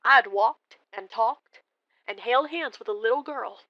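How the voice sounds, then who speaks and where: A woman narrating a first-person ghost story in a deliberate, expressive, dramatic way. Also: a little high, no echo, lo-fi sound. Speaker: storyteller calling in to a horror radio show.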